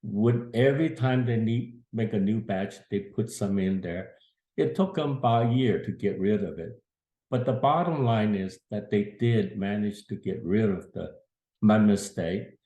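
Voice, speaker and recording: Slow, low-voiced man in his eighties. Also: mellow. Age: 80s. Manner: slow, plain-spoken, earnest storyteller. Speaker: grandfather telling a family story. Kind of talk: casual spoken memoir. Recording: echoey room.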